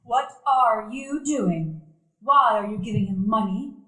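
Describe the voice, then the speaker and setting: A woman's voice: on the high side, a little slow, fairly bright tone. A woman plays an elderly, stern spinster who scolds in a disapproving tone. Her voice echoes through a live theater hall. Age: older. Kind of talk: live radio play acting.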